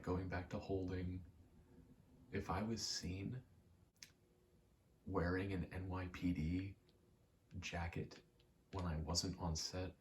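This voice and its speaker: A man's voice: deep voice, a little hiss or hum. A young man giving a casual, meandering ASMR ramble while gaming, often interrupting himself in an understated way. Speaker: ASMR creator.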